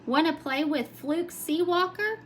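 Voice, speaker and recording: A woman's voice: extremely high pitch, a little slow, a bit bright, slight background noise, pitched above the performer's own voice. A woman voicing a frank young boy mackerel in a storybook, blunt and emphatic. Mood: excited.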